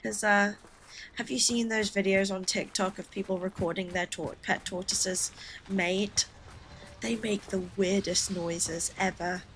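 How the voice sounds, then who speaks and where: A woman's voice: medium pitch, fairly bright tone, poor audio. Woman from the Philippines, streamer, rambling chatty talk, laughing asides, home setup, faint hiss. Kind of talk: casual gameplay chat with viewers.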